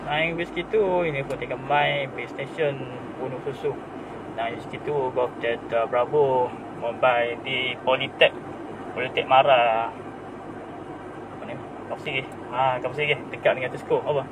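A Malaysian man chats casually on amateur radio from inside a car. His voice is average in pitch and picked up from some distance. Heavy vehicle noise surrounds his call signs and informal talk. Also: steady tone, unhurried. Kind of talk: casual two-way radio chat. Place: inside a car on city roads. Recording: distant in-car audio, loud road noise.

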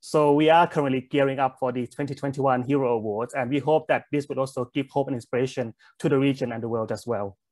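A man in his thirties from Thailand with a Thai accent, giving a measured prepared talk as a nonprofit director over a video call. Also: mid-range voice, fairly even intonation, darkish tone, no echo. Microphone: webcam on a video call.

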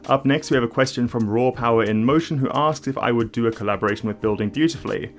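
A young man with an accent that blends South African and Australian, speaking at a middle pitch in a clear, measured way. Faint music plays under his voice. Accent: South African mixed with Australian. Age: young adult. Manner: clear, measured delivery with casual fillers in Q&A. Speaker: YouTube host. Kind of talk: scripted explainer narration, then casual Q&A.

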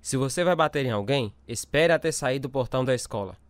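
A man's voice: mid-range voice, animated, a little fast, no echo, natural voice. A man playing a stern, hard-nosed military father in a radio drama.

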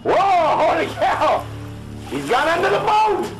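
Crusty old fisherman acted by a man, high voice, scornful, folksy, bossy, radio drama, music underneath. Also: lively intonation, slow pace, heavily acted, poor audio. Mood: excited. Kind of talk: amateur radio drama spoof acting.

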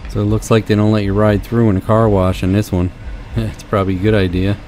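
A man's voice: on the low side, little pitch movement, fast talker. A man filming himself at a car wash, chatting casually and chuckling at what happens. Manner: amused, chuckles at mishaps. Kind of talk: casual running commentary. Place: inside his car at a car wash. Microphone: camera mounted on vehicle. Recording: loud wash machinery noise.

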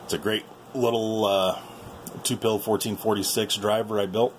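Man, low voice, informal folksy hobbyist host, casual tour commentary, clear background noise. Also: level tone, normal speed. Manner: informal, folksy, addressing viewers. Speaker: video host and radio hobbyist. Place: small indoor workshop.

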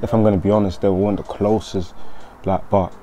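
A man's voice: lowish voice, level tone, brisk. Slangy young London man vlogging outdoors. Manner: slangy, swearing, chatty, shout-outs. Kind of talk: casual walk-and-talk vlog. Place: outdoors on streets by a school, then a garden patio.

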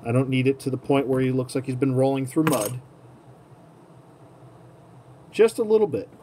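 Casual man over clear background noise. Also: mid-range voice, lively intonation, quick pace, mellow. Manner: murmurs to himself while working with his hands. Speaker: toy collector host. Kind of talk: casual hands-on tutorial commentary. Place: indoor tabletop setup. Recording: clearly noisy room ambience.

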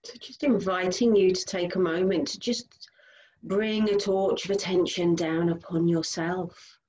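A woman with a slightly low voice guides a body scan meditation. She gives gentle instructions in short, spaced phrases, like a yoga teacher settling a class. The recording is a little dull and lo-fi. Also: steady tone, measured pace.

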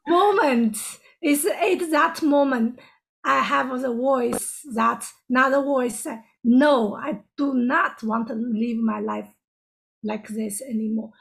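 Woman, interview guest, slow, halting, gravelly, higher voice. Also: low-quality recording. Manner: halting, searching for words, broken grammar. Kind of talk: personal story in an interview.

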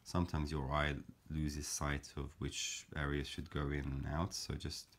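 A man calmly explaining a paper craft step by step, in a gentle, measured way with frequent fillers. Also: very deep voice. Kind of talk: calm step-by-step craft tutorial.

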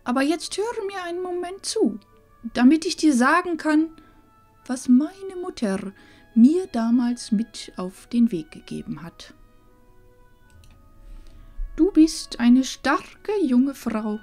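A woman playing a loving, wise old grandmother in a fantasy audio drama, speaking solemnly and tenderly with breathy phrase endings. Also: high-pitched, very animated, slow, long pauses, a little mellow, faint music behind, heavily acted.

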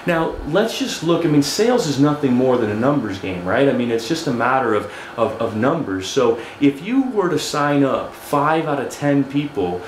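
A man's voice: medium pitch, very animated, moderate pace, few pauses, a little hiss or hum. A man in his forties giving a training lecture at a flip chart in a conversational way, often repeating words and asking "right?". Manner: stands and writes on a flip chart while talking. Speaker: HVAC business trainer. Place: studio with a dark backdrop, indoors. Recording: echoey room, faint background ambience.

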